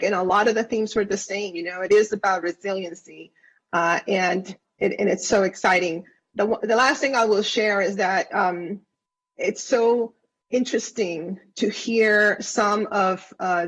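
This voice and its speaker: A woman's voice: mid-range voice, husky, highs cut off. A woman originally from Venezuela, a councilmember giving earnest, effusive remarks at a council session and often restarting her phrases. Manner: earnest, effusive, repeats words when she restarts. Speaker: county councilmember.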